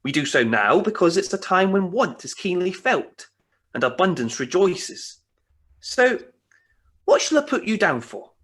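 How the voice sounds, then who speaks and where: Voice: mid-range voice, sing-song, unhurried, a bit bright. An actor playing an earnest, courteous charity collector in a radio play, polite and slightly hesitant.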